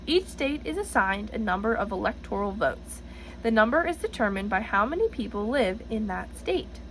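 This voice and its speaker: A woman's voice: mid-range voice, lively intonation, moderate pace, a bit bright, slight background noise, rough recording. A woman reading the part of an encouraging female schoolteacher in a storybook, speaking as if announcing to a class. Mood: explaining.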